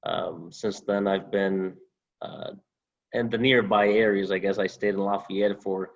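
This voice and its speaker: A young man from Honduras, a former student, answering interview questions over a video call in a halting way with many fillers. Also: sits low, fairly even intonation, vocal fry, lo-fi sound. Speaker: alumnus guest.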